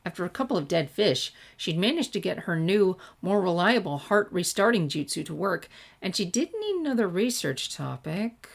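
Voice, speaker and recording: A woman narrates fiction in a slightly low voice. She reads scripted prose as a storyteller would for an audiobook listener. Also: sing-song, moderate pace, creaky. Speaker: audiobook-style reader of fan fiction.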